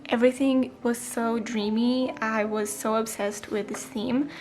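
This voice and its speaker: A woman's voice: fairly high pitch, fairly even intonation, measured pace, a little mellow, soft background music. A twenty-year-old woman chatting casually in a voice-over, with frequent ums and small self-corrections. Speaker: planner vlogger. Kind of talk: casual voice-over flip-through.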